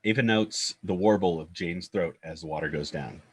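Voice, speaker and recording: A man's voice: low voice, moderate pace, slightly rough. A man reading screenplay stage directions as narrator, in clipped, descriptive scripted phrases.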